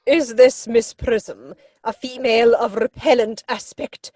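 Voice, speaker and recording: A woman performs a haughty older noblewoman in a comic stage play. Her voice is fairly high with a husky edge, recorded dry and close. She delivers each pronouncement with pompous, commanding weight. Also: fairly even intonation, unhurried, dark tone, no echo. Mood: disapproving. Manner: pompous, commanding, pointed emphasis.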